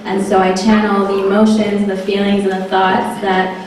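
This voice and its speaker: Woman, spoken word artist from the bay area, poised, deliberate, flat delivery, echoey hall, far mic. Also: mid-range voice, slight background noise. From San Francisco Bay Area. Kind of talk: self-introduction at a live event.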